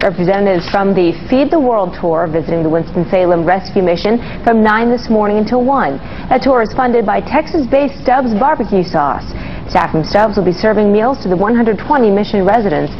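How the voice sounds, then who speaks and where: A woman's voice: mid-range voice, brisk. A woman reading a scripted local news report as an anchor. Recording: dull lo-fi broadcast, faint noise.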